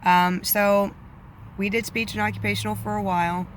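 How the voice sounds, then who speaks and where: A woman's voice: mid-range voice, moderate pace, slight background noise. A woman giving casual parenting advice on camera, her voice slightly off from allergies, with many ums. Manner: chatty, many ums, flat delivery. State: voice a bit off from allergies. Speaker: vlogger, parent.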